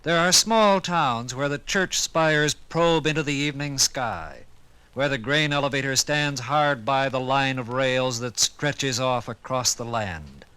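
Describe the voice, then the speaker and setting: An American man narrating a scripted 1950s radio documentary with formal, measured, dramatic phrasing. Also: mid-range voice, bright, forceful tone, clear, resonant tone, no room sound.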